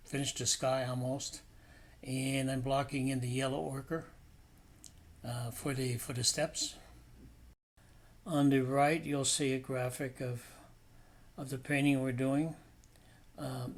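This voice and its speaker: A middle-aged man giving a casual painting demonstration as an instructor, rambling and thinking aloud. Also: mid-range voice. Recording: clear steady background noise.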